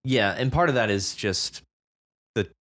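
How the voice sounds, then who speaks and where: A man's voice: fairly low pitch, expressive, a little slow, a bit bright. A man co-hosting a podcast, giving casual, enthusiastic commentary on a story and swearing at times. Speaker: podcast co-host.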